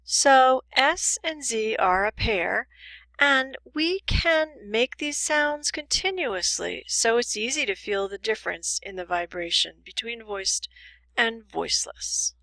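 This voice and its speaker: A woman teaching English pronunciation in a scripted lesson, enunciating deliberately and carefully. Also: a little high, animated, pushed and bright, no room sound, rough recording. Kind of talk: scripted instructional lesson with demonstrations.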